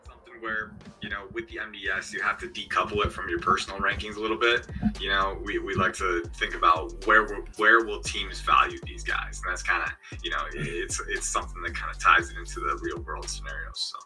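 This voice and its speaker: A man's voice: low-pitched, fairly even intonation, a little fast, sharp tone, soft background music, rough recording. A man speaking as a guest analyst in a casual chat, confident and analytical, his throat a bit parched. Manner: confident, analytical, explains in long runs.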